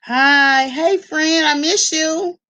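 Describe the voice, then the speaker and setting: A woman's voice: high voice, slow pace, bright, forceful tone. A woman hosting a casual livestream, talking to viewers in an informal, slangy, conversational way. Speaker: live-stream host reacting to online gossip.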